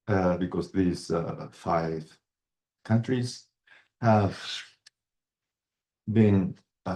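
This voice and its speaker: Low slow-speaking man lecturing haltingly. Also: mellow. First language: not English. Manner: halting, many fillers and restarts. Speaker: university lecturer. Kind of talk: university lecture.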